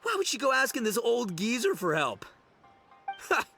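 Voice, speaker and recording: A man's voice: very high voice, huge pitch swings, normal speed, bright, forceful tone, husky, soft background music, exaggerated voice. A man voicing a brash, dim, big-hearted young gang boss who blusters and bumbles theatrically in a video game. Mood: excitable, blustering.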